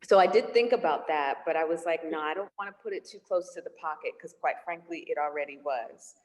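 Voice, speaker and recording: A woman's voice: deep voice, fairly quick, darkish tone. A woman screenwriter talking casually and reflectively in a question-and-answer discussion of her writing. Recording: long hollow room reverb.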